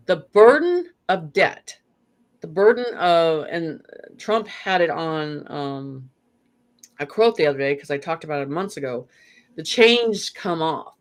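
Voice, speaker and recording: A guest on an interview show, a remote viewer who talks at length about spiritual ideas in a meandering, earnest way. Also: medium pitch. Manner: meandering, many fillers and restarts, earnest. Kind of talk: rambling spiritual talk.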